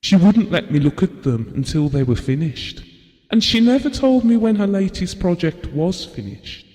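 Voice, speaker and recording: A devoted husband in an intimate audio drama, his voice fairly high for a man and very mellow. He tells his memories tenderly and reflectively, with a wistful air. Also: sing-song, moderate pace, long reverb tail. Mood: fond, wistful. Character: devoted, wistful, estranged husband reminiscing about his marriage.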